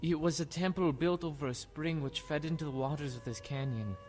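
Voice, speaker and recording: A man acting a loyal, calmly confident young Egyptian prince in an audio drama. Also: fairly high pitch, fairly quick, faint music behind, natural voice. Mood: explaining.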